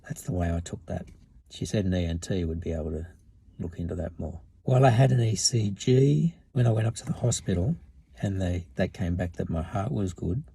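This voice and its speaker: A middle-aged man with a hoarse voice he is losing, talking plainly and matter-of-factly in a casual vlog. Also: low-pitched, expressive, a little fast, mellow. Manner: plain, matter-of-fact talk with restarts. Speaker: vlogger. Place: in a car, then outdoors in a yard. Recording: noisy car and outdoor background.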